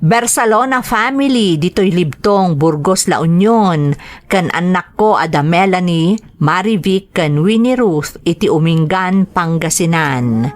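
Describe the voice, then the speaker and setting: A woman's voice: on the low side, lively intonation, measured pace. A woman with an Ilocano accent hosting a drama programme, reading out greetings and listener letters.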